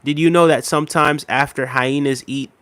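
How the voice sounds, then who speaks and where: A man in his thirties hosting a casual livestream, reading viewer comments aloud in a relaxed, repetitive way with many fillers, a little unwell and tired. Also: on the high side, moderate pace, no echo. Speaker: livestream host.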